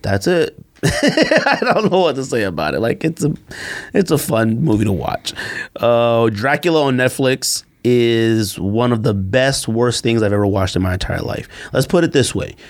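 A man's voice: mid-range voice, very animated, normal speed. A man hosting a podcast in a casual, slangy, teasing way, like chatting with a friend. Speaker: podcast host. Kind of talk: casual banter.

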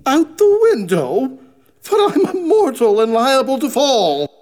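An actor playing a shaken old miser in a radio drama, halting and astonished. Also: male voice, very high-pitched, wildly swooping pitch, full character voice. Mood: fearful. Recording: loud music behind the voice.

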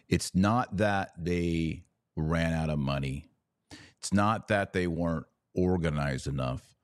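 A man with a low-pitched voice delivers opinionated religious commentary. He takes his time, setting phrases apart and leaning on key words. The sound is close and dry. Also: a little mellow, dry recording. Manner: emphatic, deliberate phrasing with pauses and stressed key words. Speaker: podcast host, religious commentator.

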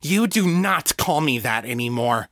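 A proud, headstrong woman in an audiobook story, put on by a man. She is blunt and defensive, hitting her words emphatically with big pitch swings, recorded close and dry. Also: animated, unhurried, a bit bright, no room sound. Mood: defiant, angry.

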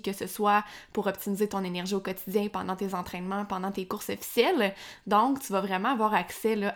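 A woman's voice: mid-range voice, a bit bright. A young woman with a Québécois French accent hosting a nutrition podcast, talking informally to the listener with many "euh" restarts, slightly congested. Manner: chatty, informal, addresses listener directly, frequent "euh" restarts. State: slightly congested, frog in throat. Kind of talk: solo podcast explainer.

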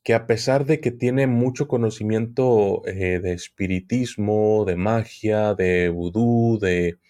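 A man, a guest on a podcast, explaining at length in a measured, hesitant way. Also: lowish voice, warm tone. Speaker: guest occult expert. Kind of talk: podcast conversation.

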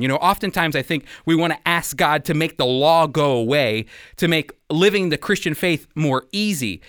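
A man's voice: a little high, a bit bright, no room sound, studio quality. A man hosting a religious radio program, speaking in an earnest, exhortative, conversational commentary style.

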